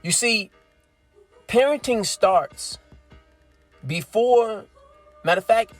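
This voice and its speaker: A thirty-year-old man giving his opinion in a casual, emphatic monologue, explaining step by step and checking in with his listeners. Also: fairly high pitch. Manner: emphatic, explains step by step, keeps asking "right?". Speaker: vlogger.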